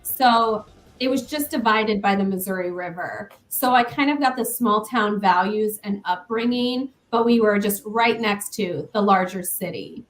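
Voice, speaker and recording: A young woman from Iowa, a guest in a casual interview, friendly and quick to laugh. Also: mid-range voice, poor audio.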